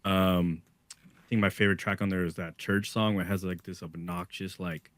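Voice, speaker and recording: A man's voice: sits low, fairly even intonation, normal speed, a bit bright, dry recording. A man co-hosting a music podcast, talking in a laid-back casual way with lots of "like" and "dude". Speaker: podcast co-host. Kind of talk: casual music review chat.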